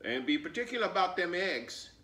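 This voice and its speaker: Man as a high-voiced, bossy old grandmother. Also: very animated, a little fast, bright, forceful tone, full character voice. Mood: cautioning. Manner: folksy, emphatic, cautioning. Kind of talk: children's storybook read-aloud. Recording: roomy echo, distant mic.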